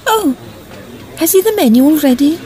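A polite young Edwardian miss, played by a woman, with an extremely high, girlish voice. Her audio drama delivery is lively and swooping. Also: normal speed. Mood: puzzled.

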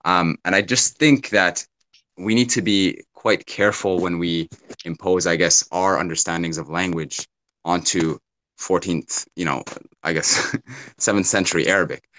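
A man's voice: deep voice, fairly even intonation, unhurried, bright, forceful tone, rough recording. A young man on a video call, earnestly explaining his view with everyday examples and many fillers. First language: English. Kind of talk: casual debate on a video call. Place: small indoor room. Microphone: phone or laptop on a video call.

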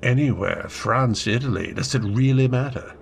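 An actor playing a troubled, brusque English gentleman husband in an old-time radio drama, terse and intense. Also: mid-range voice, moderate pace, gravelly, a little hiss or hum, lightly acted. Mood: weary, earnest.

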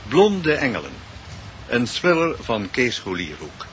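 A man's voice: mid-range voice, huge pitch swings, measured pace, a bit bright, slight background noise. A man reading scripted radio drama credits as an announcer.

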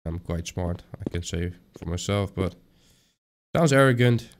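Man, lively low voice. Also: unhurried, a little hiss or hum. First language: Dutch. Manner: rambling, thinks aloud with self-corrections. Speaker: let's play gamer. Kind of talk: casual horror game commentary.

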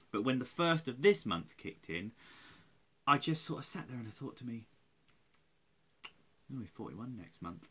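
A man's voice: medium pitch, pushed and bright. A man of about forty, tired and rambling, swearing and mocking himself in a home webcam vlog. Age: 40s. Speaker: vlogger. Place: small room at home, indoors. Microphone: webcam at close range.

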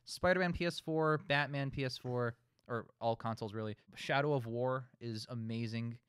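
A man's voice: mid-range voice, moderate pace, a bit bright, smooth, dry recording. A man in his twenties hosting a casual group discussion, explaining his points at wordy, rambling length. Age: 20s. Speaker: host of a film discussion show.

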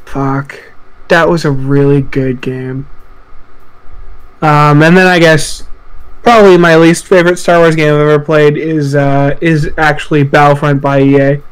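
Male podcast co-host, slightly high voice, informal and foul-mouthed game chat. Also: flat delivery, unhurried, clear, resonant tone, rough recording. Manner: sweary, informal. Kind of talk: casual podcast chat about video games. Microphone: voice chat call.

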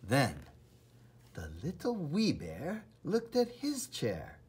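Man, children's read-aloud, dramatic, enthusiastic, unhurried, lively intonation, husky. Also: on the high side. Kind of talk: expressive children's storybook narration.

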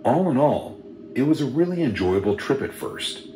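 A man reading a first-person horror story in a steady, measured storytelling voice. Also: medium pitch, a little hiss or hum. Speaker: narrator. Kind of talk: scripted horror story narration. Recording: roomy sound with music underneath.

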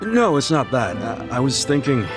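A man's voice: mid-range voice, big pitch swings, moderate pace, natural voice. An actor voicing a good-natured, plain-spoken, loyal man in a video game, talking casually. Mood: sheepish, hesitant. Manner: casual, colloquial. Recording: music behind the voice.

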